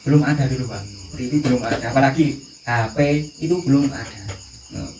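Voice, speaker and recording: A man in his thirties with a Javanese accent, speaking haltingly as a guest in an informal panel talk. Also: medium pitch, steady tone, crowd noise. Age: 30s. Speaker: discussion guest. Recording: distant, echoey, noisy recording with insects.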